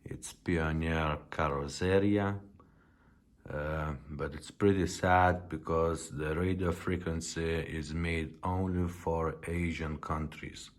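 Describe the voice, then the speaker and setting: A man with a deep voice presents a product in a casual way. He talks slowly and plainly, with some hesitation as he explains things. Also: fairly even intonation. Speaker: presenter testing vintage car radios.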